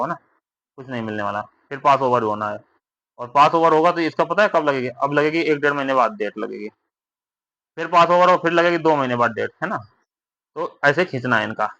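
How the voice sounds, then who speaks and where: A man's voice: mid-range voice, pushed and bright, highs cut off. A man explaining exam updates informally to candidates, repeating himself, often checking for agreement, advising and reassuring. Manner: repetitive, with frequent tag questions seeking agreement.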